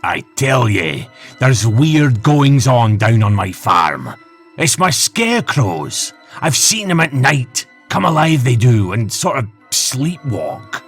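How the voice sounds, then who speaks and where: Casting: male actor as spooked rustic farmer, gravelly, unhurried, insistent, folksy speech for audio drama. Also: medium pitch, big pitch swings, soft background music, heavily acted. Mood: ominous. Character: earnest, spooked country farmer, old-fashioned rustic. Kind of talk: fan audio drama acting.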